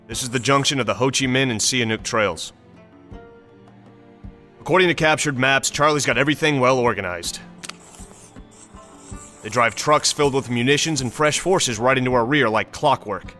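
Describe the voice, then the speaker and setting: Forceful American army briefing officer played by a man. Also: medium pitch, moderate pace, pauses often, faint music behind, lightly acted. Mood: stern, businesslike. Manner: clipped, authoritative, commanding. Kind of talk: scripted video game mission briefing.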